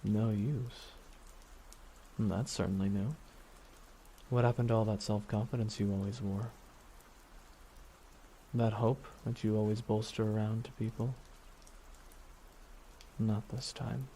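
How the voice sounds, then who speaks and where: A man acting a weary, regretful supervillain in an ASMR audio roleplay, speaking slowly and reflectively with pauses. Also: lowish voice, fairly even intonation, dark tone, slight background noise, poor audio. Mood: somber, wry. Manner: slow, reflective, pausing delivery. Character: weary, regretful male supervillain beside his dying nemesis.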